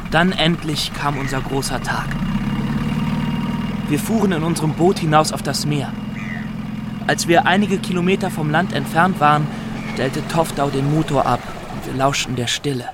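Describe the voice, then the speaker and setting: A male actor voices an earnest fisherman in a radio drama monologue. His voice is slightly high and solemn, set against very loud background noise. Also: moderate pace, close to an everyday voice.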